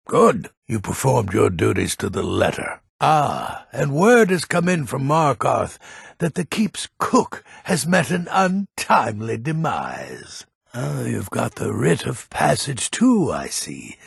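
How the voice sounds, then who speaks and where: An actor playing a grumpy, world-weary old male assassin in a video game, with a gravelly, raspy voice and a scornful, sardonic, grumbling delivery. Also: medium pitch, dry recording, studio quality, heavily acted. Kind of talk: video game character dialogue.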